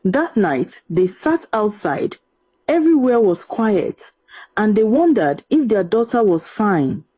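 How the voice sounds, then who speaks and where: A woman storyteller narrates a scripted folktale. Her voice is mellow and sits at a medium pitch, and it rises and falls in a lively, dramatic way. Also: slow pace, slightly rough.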